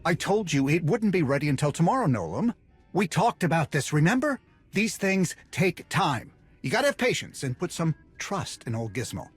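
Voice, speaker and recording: A man's voice: a little high, big pitch swings, normal speed, breathy edge, soft background music. An actor playing a shifty, ingratiating underworld fixer in a video game, talking like a salesman desperate to please. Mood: placating. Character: shifty, eager-to-please male underworld fixer and low politician.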